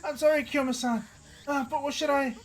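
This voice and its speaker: A man voicing a shy, gentle teenage boy who sounds girlish, hesitant and nervously polite. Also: very high voice, fairly even intonation, brisk, voice pushed up. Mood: anxious.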